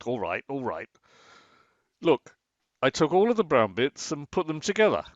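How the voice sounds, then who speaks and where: A man's voice: mid-range voice, sing-song, normal speed, no echo, highs cut off, natural voice. A man acting a grumbling, sarcastic but affectionate husband in a naturalistic radio play, dry and exasperated in manner. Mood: coaxing, earnest.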